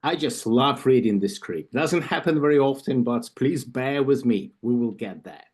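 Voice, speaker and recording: A man with a Ukrainian accent reading an induction script on a video call, slowly and carefully, with asides and small self-corrections. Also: medium pitch, a little mellow, husky. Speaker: club vice president of membership. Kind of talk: reading an induction ceremony script at an online club meeting.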